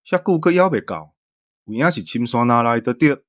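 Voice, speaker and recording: A male co-host with a Taiwanese accent chats and puts questions. His mid-pitched voice moves very expressively up and down. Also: expressive, normal speed. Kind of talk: conversational questioning.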